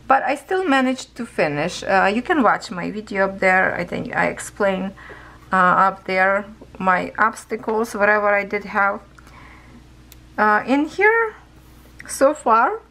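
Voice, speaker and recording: A woman with a hoarse voice, chatting casually as a craft channel host while she stitches beads at home. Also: mid-range voice, fairly bright tone. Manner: rambling, chatty, loose grammar. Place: at home, seated with canvas on lap.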